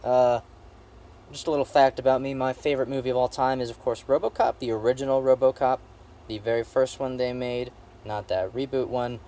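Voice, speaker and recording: A man's voice: mid-range voice, steady tone, warm tone. Rambling man giving an offhand movie review. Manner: halting, repeats phrases emphatically, profane.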